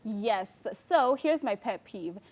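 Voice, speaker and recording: Kenyan woman, twenties, co-host, expressive storyteller, a bit high-pitched. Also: takes it slowly. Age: 20s. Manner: expressive, storytelling, laughs easily. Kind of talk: casual chat.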